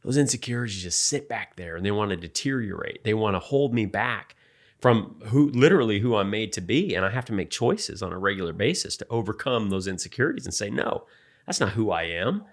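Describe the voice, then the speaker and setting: Man, late forties, low voice, earnest and halting, reflective faith-based podcast talk. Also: lively intonation. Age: 40s. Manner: earnest, halting, many restarts. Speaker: podcast co-host.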